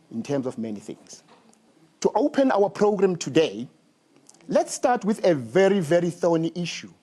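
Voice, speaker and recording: A man hosting a studio interview, speaking in slow, emphatic phrases and mixing in Zulu. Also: on the high side, warm tone, husky. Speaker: talk show host.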